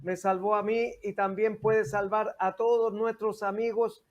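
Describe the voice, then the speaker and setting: An older man with a Chilean accent hosting a religious interview as a pastor, speaking in a deliberate, halting, preacherly way on a dull recording. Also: high voice, flat delivery. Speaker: TV host and pastor. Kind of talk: religious interview, devotional talk.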